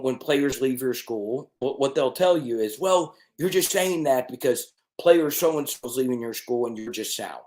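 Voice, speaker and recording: A man hosting a college football live stream, talking straight to viewers and often checking in with "okay?". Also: medium pitch. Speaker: sports channel host. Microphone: desk mic with changed settings.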